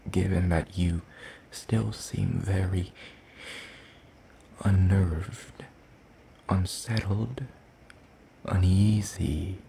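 Gentle, curious demon played by a man for ASMR roleplay: low, mellow, soft-spoken, hesitant and courtly in phrasing. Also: fairly even intonation, gravelly, a little hiss or hum, heavily acted. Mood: musing, polite. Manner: hesitant, formal, slow and deliberate. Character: gentle, curious, one-eyed soul-leeching demon creature.

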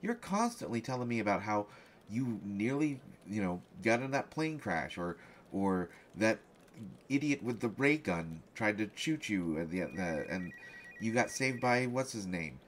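A man playing a flustered, distracted reporter in an audio roleplay, halting and stammering, jealous and defensive. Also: fairly low pitch, very animated, a little hiss or hum. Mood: exasperated, defensive. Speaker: voice actor in a solo roleplay audio. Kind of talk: one-sided conversational audio roleplay.

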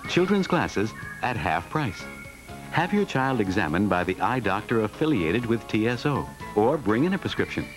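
Low, lively male commercial announcer. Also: moderate pace, over music, low-quality recording. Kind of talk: scripted ad voice-over.

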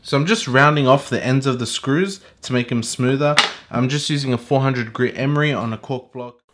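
A young man with a stuffy, congested voice, explaining his hobby work to camera in a relaxed, casual vlog. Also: medium pitch, normal speed, roomy sound, background noise. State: congested from a cold. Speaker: hobby watchmaker vlogger. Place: home workshop, indoors.

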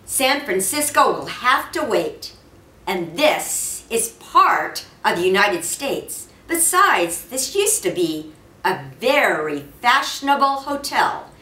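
A woman's voice: fairly high pitch, big pitch swings, slow pace, bright, forceful tone, exaggerated voice. A woman voicing a stubborn, tired father in a storybook, firm and emphatic. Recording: roomy, distant mic with faint noise.

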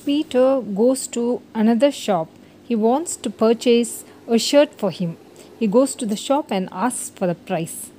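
A woman's voice: fairly high pitch, unhurried, mellow, slight background noise. A woman reading a scripted moral story for children as its narrator.

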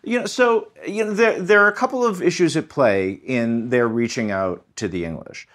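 A man's voice: medium pitch, moderate pace, a bit bright. A man speaking as a history professor in an interview, explaining in an emphatic, articulate, scholarly way. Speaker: history professor, podcast guest.

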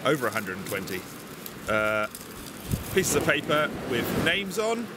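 A man in his forties, from England, chatting casually outdoors on a beach, relaxed with lots of ums and uhs. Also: mid-range voice, a little slow. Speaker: bushcraft vlogger. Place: outdoors on a sunny beach, windy. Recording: loud wind and sea noise.

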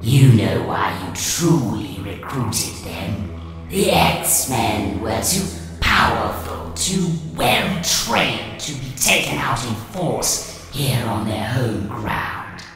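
A man's voice: medium pitch, sing-song, slow pace. A man doing a gloating alien brood queen, echoing. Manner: menacing, gloating. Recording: heavy echo and long reverb with loud music.

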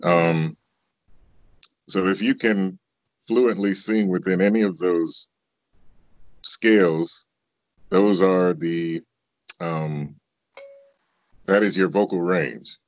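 A middle-aged American man with a very deep bass voice, chatting casually as an interview guest on a video call. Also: takes it slowly, long pauses, dry recording. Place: small room at home, indoors. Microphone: video call, close to device. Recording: dull lo-fi video call audio.

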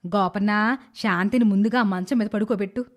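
A woman voicing a blunt, sharp-tongued, bossy village woman in an animated moral story. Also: medium pitch, moderate pace, pitched below the performer's own voice, lightly acted. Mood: urgent, bossy. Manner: sharp-tongued rustic scolding.

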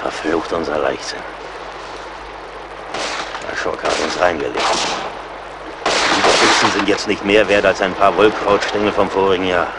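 Clear battle noise surrounds a low male voice. It is a grimly practical frontier scout in a radio play, terse and commanding, with a sardonic edge and loyal to his companions. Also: steady tone, normal speed, long pauses. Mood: grim, bitter. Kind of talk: German radio play acting.

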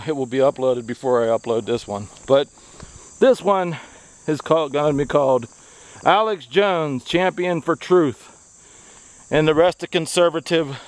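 A middle-aged American man speaking earnestly and haltingly as he walks outdoors, giving an opinionated vlog monologue into a handheld camera. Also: mid-range voice, clear, resonant tone, dry recording. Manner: earnest, halting phrasing delivered while walking. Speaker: video blogger, activist. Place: outdoors on a wooded country road, walking. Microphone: handheld camera at arm's length.

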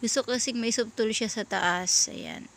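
A Filipino woman giving a casual step-by-step sewing tutorial in a halting way, often searching for words as she addresses viewers. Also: a little high, vocal fry, a bit bright, slight background noise.

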